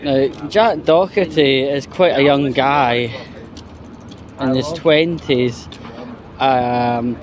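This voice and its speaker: A man giving casual opinion commentary in a halting, rambling, disapproving way. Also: medium pitch, a crowd in the background. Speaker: commentary YouTuber.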